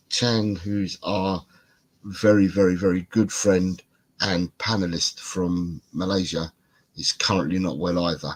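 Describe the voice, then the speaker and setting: Male British host, very low husky voice, stuffed-up and congested, rambling casual chat. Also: poor audio. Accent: British English. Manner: rambling, repeats himself. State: congested, bunged up. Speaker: podcast host.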